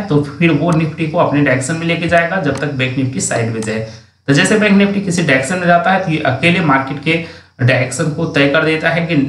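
Guy walking thru stock charts, bit high voice, roomy echo, keeps restarting. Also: fairly bright tone. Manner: explanatory, restarts and self-corrections, drawn-out words. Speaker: stock market analyst. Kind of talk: screen-share chart analysis. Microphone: voice-over with screen recording.

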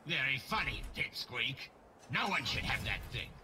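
An actor playing a proud, irritable robot defector in a cartoon-style video game, speaking in a sardonic, curt manner. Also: male voice, medium pitch, big pitch swings, normal speed, background noise, close to an everyday voice. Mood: grudging.

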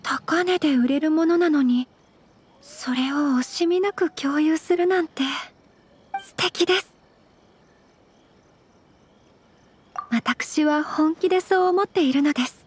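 A woman playing a refined, gentle young noblewoman in an anime game, speaking formally, courteously and earnestly. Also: sits high, a little slow. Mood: earnest, admiring. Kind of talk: anime game character acting.

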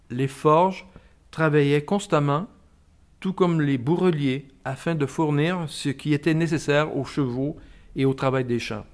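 A man reading a scripted documentary narration in a steady, even way. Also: medium pitch.

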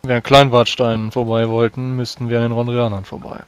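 A man role-playing at a tabletop game in formal, measured, courtly speech. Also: medium pitch, little pitch movement, very noisy. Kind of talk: in-character role-play dialogue.

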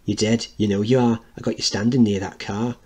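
A man's voice: on the low side, fairly even intonation, a little fast, darkish tone, slightly rough. A man voicing a cocky, bullying boy who leads a street gang, in a dramatic reading of a play. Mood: taunting, chanting.

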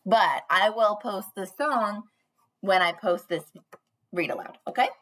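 A woman's voice: medium pitch, animated, measured pace, a bit bright. A young woman preschool teacher doing a read-aloud for small children in a bubbly, sing-song manner, recorded on a webcam in a small room. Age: young adult. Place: small panelled indoor room.

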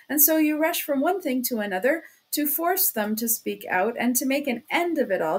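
A sly police investigator in a storybook, acted out by a woman, with a fairly high voice. He wheedles and fusses, chattering on and repeating himself, mocking one moment and solicitous the next. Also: close to an everyday voice. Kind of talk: audiobook character acting.

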